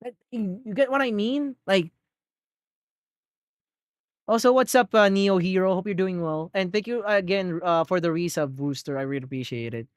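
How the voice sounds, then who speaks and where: A man live streaming, chatting casually to his viewers in an excitable, rambling way with lots of restarts. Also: high voice, moderate pace, dry recording, studio quality. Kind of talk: casual chat to a live audience.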